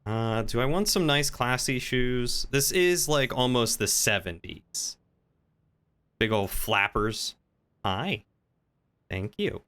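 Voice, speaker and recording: A man talking casually on a gaming livestream, chatty and playful, thinking out loud as he goes. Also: medium pitch, lively intonation, takes it slowly, clear, resonant tone.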